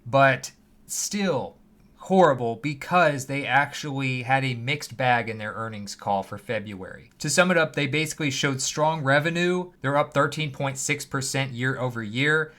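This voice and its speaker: Bright-voiced man giving stock commentary. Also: mid-range voice, moderate pace. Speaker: finance commentator, host. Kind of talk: conversational stock market commentary.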